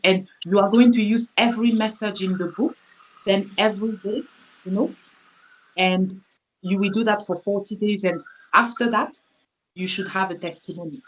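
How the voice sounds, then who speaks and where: Woman in her thirties, muffled audio. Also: mid-range voice. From Africa. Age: early thirties. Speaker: interview guest, TV producer and author. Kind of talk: casual interview chat. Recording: muffled lo-fi online call audio.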